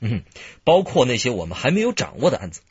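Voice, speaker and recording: Analytical detective, cautious, rough husky voice, dry slightly dull sound, radio crime drama. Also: male voice, mid-range voice, very animated, no echo. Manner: careful, deliberate reasoning.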